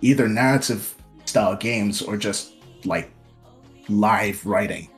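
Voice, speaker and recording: A young man with a fairly low voice, from Nigeria, chats softly and with a self-deprecating edge as an interview guest. He speaks through a home streaming mic, with faint music under the stream audio. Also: takes it slowly. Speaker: VTuber guest. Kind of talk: casual interview talk.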